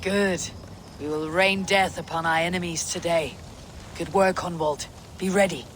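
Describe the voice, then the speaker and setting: An actor playing a bold, battle-hardened Viking warrior leader in a video game cutscene. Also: male voice, a little high, fairly even intonation, unhurried, a bit bright, a little hiss or hum. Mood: commanding.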